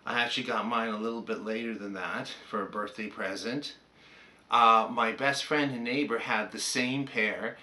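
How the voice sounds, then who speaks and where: A man's voice: fairly low pitch, fairly even intonation, normal speed, pushed and bright. An older man with a Canadian accent, chatting casually and anecdotally as he reminisces like a hobbyist presenting on a vlog.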